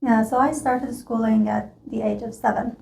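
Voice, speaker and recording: Iranian woman with a gravelly voice, echoey room. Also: on the high side, steady tone, moderate pace, darkish tone, slight background noise. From Iran. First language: Farsi. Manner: hesitant, many ums and likes. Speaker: interview guest. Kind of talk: casual interview answers.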